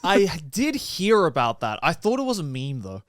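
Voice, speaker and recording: A man's voice: high-pitched, huge pitch swings, moderate pace, fairly bright tone, dry recording, clean studio sound. A man chatting as a podcast guest, eager and chummy, swearing casually and agreeing with lots of "yeah".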